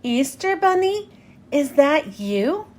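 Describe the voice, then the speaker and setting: A sweet little girl as a woman storyteller plays her, innocent and wide-eyed. Her voice is very high and lilts up and down tentatively. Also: big pitch swings, takes it slowly, a little mellow, heavily acted. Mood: hopeful.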